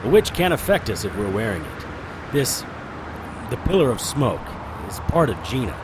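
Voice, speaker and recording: A man's voice: mid-range voice, very animated, moderate pace, background noise, lightly acted. An actor in an audio drama playing a wisecracking male occult investigator, cocky, flippant and theatrical.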